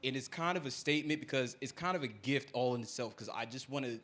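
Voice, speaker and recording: A man's voice: medium pitch, fast, a bit bright. A man in his thirties from New Jersey asking a roundabout question from the audience of a community panel. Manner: roundabout, many fillers. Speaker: audience member.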